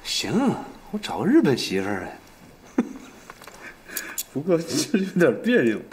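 A simple, cheerful country man, talks with big swoops of pitch. His Northeastern Chinese accent is folksy and colloquial. There is slight noise in the background. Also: huge pitch swings, unhurried, a little mellow. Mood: teasing, uneasy. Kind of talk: naturalistic television drama dialogue.

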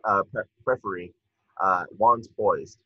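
Husky, low-voiced man reading stage directions. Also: measured pace, no echo. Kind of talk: table read of a screenplay. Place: indoors on a video call. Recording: muffled lo-fi video call audio.

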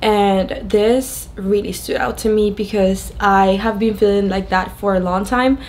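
A young woman with a Venezuelan accent speaks as a native Spanish speaker would. She shares a personal testimony to camera in a candid, conversational way, at an average pitch. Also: fairly even intonation, measured pace, darkish tone, a little hiss or hum. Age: young adult. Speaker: video creator sharing a testimony.

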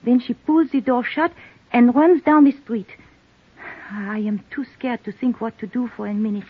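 A nervous French immigrant woman in a vintage radio drama. Her voice is breathy and fairly high, her English broken and hesitant with a French accent. The old recording is tinny and narrow, like a telephone. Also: steady tone, normal speed. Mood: anxious recounting. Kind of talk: 1950s radio drama acting.

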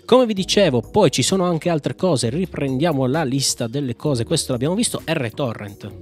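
A man from Italy hosting a casual software walkthrough, chatting informally and thinking aloud as he tries commands. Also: a little high, animated, moderate pace, soft background music. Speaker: tech tutorial host. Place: indoor room at a computer, screencast with small webcam.

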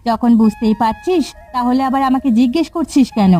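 একজন নারী কার্টুনে এক ব্যস্ত, খিটখিটে গ্রামের মায়ের চরিত্রে ধমকের সুরে কথা বলছেন।. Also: female voice, fairly high pitch, a little fast, warm tone, lightly acted. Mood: irritated, scolding. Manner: snappish, scolding. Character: irritable, busy village mother. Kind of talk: Bengali cartoon acting. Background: background music.

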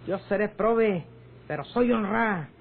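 A woman with a northern Mexican accent playing a proud, sharp-tongued, poor servant in a radio drama. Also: medium pitch, a little slow, creaky. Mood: indignant. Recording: noisy, tinny old radio recording.

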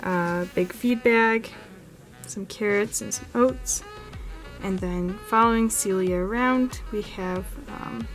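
A woman's voice: medium pitch, takes it slowly, faint music behind. A woman hosting her own channel, giving a casual walkthrough in a carpeted room while filming close with a handheld camera. She talks in a chatty way, with many "like"s and "um"s. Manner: chatty, filler-heavy, frequent "like" and "um". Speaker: model horse channel host.

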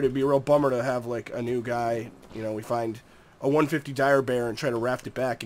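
A man's voice: fairly high pitch, a little hiss or hum. Congested, nasal young man narrating a game. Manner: chatty, easygoing, talks to the creatures. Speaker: gameplay commentator. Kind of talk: casual gameplay commentary.

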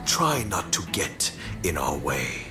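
An actor playing an imperious older chief minister in a video game, haughty, deliberate and curt. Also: male voice, a bass voice, very animated, loud music behind the voice, exaggerated voice. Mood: dismissive. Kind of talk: video game cutscene acting.

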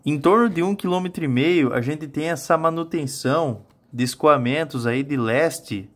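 A man's voice: fairly high pitch, moderate pace. A man in his thirties with a southern Brazilian accent, giving a colloquial weather forecast as a meteorologist and often checking in with his viewers. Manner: colloquial, explanatory, addresses viewers with frequent check-in tags. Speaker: meteorologist, weather channel host. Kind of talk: informal weather forecast to camera. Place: small home office with monitors, indoors.

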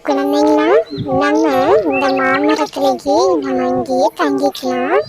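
A woman's voice: sits high, level tone, measured pace, a little hiss or hum. A woman voicing a caring mother bird who reassures her chicks in a cartoon. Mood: reassuring. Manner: soothing, reassuring. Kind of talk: cartoon voice acting.

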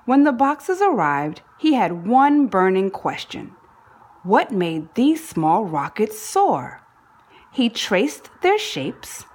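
A woman reading a children's book aloud in an expressive narrating style, phrasing deliberately and leaning on key words for drama. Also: medium pitch, breathy edge. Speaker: storybook reader.

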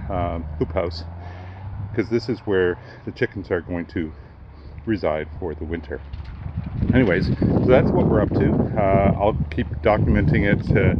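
An older man with a lowish voice talks his way through a project in a relaxed, halting manner, full of fillers. He films himself outdoors with a handheld camera held at arm's length. The sound is dull and lo-fi, and steady wind noise is clearly heard behind him. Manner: relaxed, hesitant with many fillers. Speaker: homesteading vlogger. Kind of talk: casual walkthrough narration. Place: outdoors on a rural homestead.

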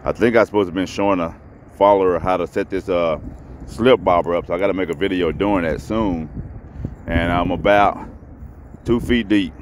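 A man's voice: low voice, normal speed. A man chats to his viewers while he fishes from a grassy pond bank. He talks through a head-mounted camera, with faint outdoor noise behind him. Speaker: fishing vlogger. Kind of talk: casual outdoor fishing commentary.

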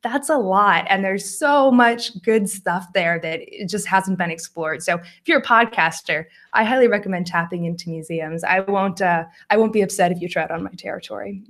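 A woman's voice: mid-range voice, normal speed, hardly any pauses, fairly bright tone. A young American woman presenting a talk over slides, friendly, with frequent ums and small laughs. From United States. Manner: friendly, laughs often, fillers like um. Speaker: conference speaker.

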